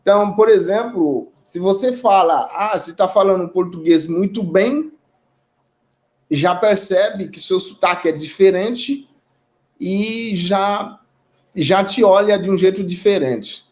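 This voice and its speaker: Higher-voiced Haitian man, measured and reflective. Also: highs cut off. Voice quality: measured, reflective, with frequent pauses and tag questions. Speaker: guest representing an immigrant community. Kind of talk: conversational testimony in a live online discussion.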